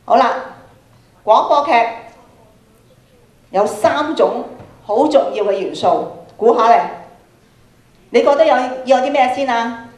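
A woman's voice: a little high, lively intonation, unhurried, long pauses, a little mellow. Echoey Hong Kong Cantonese woman lecturer. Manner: didactic, prompting with questions, repeats phrases for emphasis. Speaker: workshop speaker, radio drama trainer. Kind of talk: interactive lecture to students. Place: large school auditorium, indoors. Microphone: handheld microphone. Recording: echoey auditorium PA sound.

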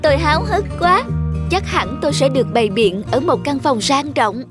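A vain young cartoon sunflower with a high, girlish pitch. The delivery is expressive and full of exclamation, made for children's animation. Music plays behind the voice. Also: normal speed. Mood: excited. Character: excited, vain young female sunflower, later despairing.

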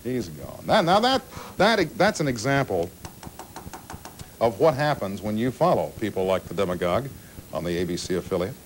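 A man's voice: lowish voice, big pitch swings, moderate pace. An older man hosting a call-in TV show, chatting casually in a folksy, dryly joking way. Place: small TV studio. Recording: noisy old TV broadcast tape.

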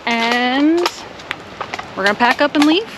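A woman's voice: sits high, animated, measured pace, poor audio. A young woman chatting casually and cheerfully while vlogging a family camping trip outdoors, laughing easily. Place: outdoors at a beach and park. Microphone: action camera.

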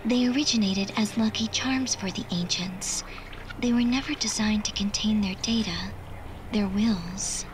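An actress voicing a detached digital AI girl in a video game cutscene, measured and precise. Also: mid-range voice, noisy background, natural voice. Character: calm female digital AI girl, cryptic. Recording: game audio with music underneath.

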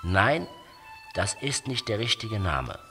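A sly, ugly little fairy-tale dwarf, acted out by a male storyteller, bargains and demands. The delivery is theatrical and drawn out, with a very lively rise and fall. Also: lowish voice, takes it slowly, music in the background, exaggerated voice. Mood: stern, smug.

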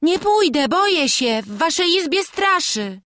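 A woman's voice: high voice, sing-song, normal speed, fairly bright tone, dry recording, studio quality, pitched above the performer's own voice. A woman voicing a frightened, reluctant teenage servant boy in an audiobook, with a whiny, anxious rustic way of talking. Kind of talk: audiobook storytelling.

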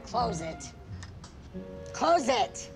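A woman's voice: on the high side, sing-song, very slow, over music, exaggerated voice. An actress playing a restless, demanding young woman in a naturalistic screen style. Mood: urgent.

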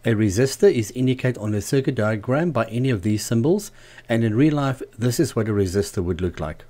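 A man narrating a scripted how-to tutorial as the channel's host, in a measured, explanatory manner. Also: medium pitch, animated, warm tone. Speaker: host of a DIY loudspeaker channel.

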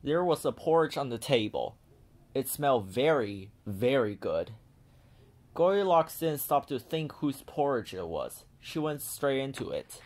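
A man narrating a children's storybook in an animated read-aloud style. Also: mid-range voice, a little slow.

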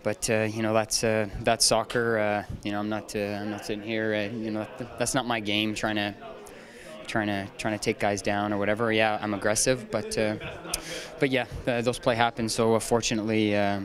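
A man's voice: on the low side, little pitch movement, normal speed, crowd noise. A young man, a football player, giving a hesitant locker-room interview into a handheld microphone, full of uh fillers.

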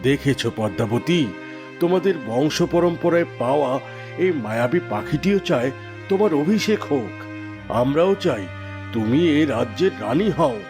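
Man as a cunning cartoon minister, fairly high male voice, formal, commanding, prominent music behind. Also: expressive, moderate pace. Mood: solemn, persuading. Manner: formal, authoritative. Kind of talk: Bengali fairy tale cartoon acting.

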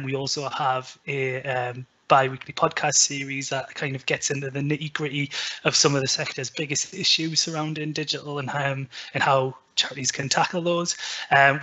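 Man, geordie accent, fairly high, vivid tone, chatty webinar talk, video call. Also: sharp tone. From Newcastle, England. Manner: chatty, frequent ums and self-corrections. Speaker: webinar presenter. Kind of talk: presenting a webinar. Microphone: video call webcam.

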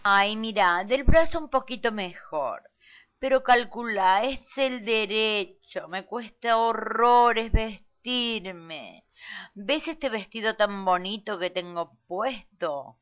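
Woman acting a boastful showgirl celebrity, theatrical, condescending, smooth voice, parody radio play, muffled lo-fi sound. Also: medium pitch, slow, natural voice. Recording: dull lo-fi audio.

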